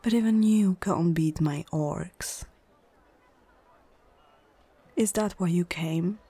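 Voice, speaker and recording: Woman playing a slow, imperious female orc chieftain. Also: lowish voice, warm tone, husky, no room sound. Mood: condescending, amused. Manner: slow, imperious, condescending, often dropping to a whisper. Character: proud, menacing female orc chieftain and war commander. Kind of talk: ASMR fantasy roleplay. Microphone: close microphone, dry.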